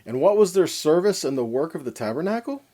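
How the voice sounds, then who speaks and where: A man teaches from scripture in a soft-edged, mellow voice. His pitch moves up and down in an animated way. He explains step by step, asking questions and then answering them himself. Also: fairly quick. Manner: didactic, asks and answers own questions, checks in with "okay". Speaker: teacher of a weekly Torah portion.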